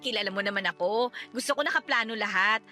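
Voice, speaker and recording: A woman's voice: mid-range voice, fast talker, bright, forceful tone, soft background music, natural voice. A woman with a Filipino accent playing a talkative, stubborn wife in her thirties in a radio drama, chatty and nagging. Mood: annoyed, questioning.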